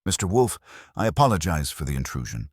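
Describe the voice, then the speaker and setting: A man acts out a formal, earnest chemist in his late thirties for a detective audio drama. He sounds stiff and serious, low and slightly husky, with varied intonation. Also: big pitch swings, a little fast, no echo, studio quality, heavily acted. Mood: formal, anxious.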